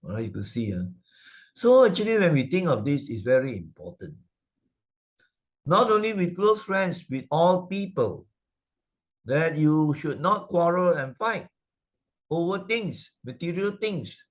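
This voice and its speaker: A man with a Malaysian English accent, a Sunday school teacher explaining the lessons of a children's story in a chatty way, with many fillers and tag questions. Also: a little high. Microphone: video call presentation mic.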